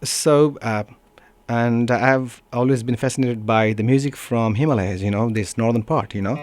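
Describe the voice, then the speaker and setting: A man from Nepal with a Nepali accent, a musician talking casually in an interview, often pausing to search for words. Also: medium pitch, darkish tone, no room sound. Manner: halting, searching for words, frequent "you know". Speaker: musician being interviewed, guitarist. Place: radio studio.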